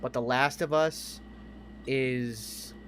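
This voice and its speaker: A man's voice: medium pitch, steady tone, very slow, fairly bright tone, a little hiss or hum. A man talking casually as a podcast co-host about films and games, emphatic and opinionated, swearing freely. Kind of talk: casual film and game discussion.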